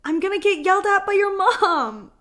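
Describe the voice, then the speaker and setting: A woman improvising a comedy scene over a video call, chatty and quick to jump in. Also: very high voice, animated, a bit bright. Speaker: improv performer.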